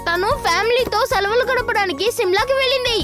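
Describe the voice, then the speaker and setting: A young cartoon boy hero with a very high, childlike voice. The delivery is brisk and earnest, with children's music clearly behind it. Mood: reassuring. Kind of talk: Telugu cartoon dub acting.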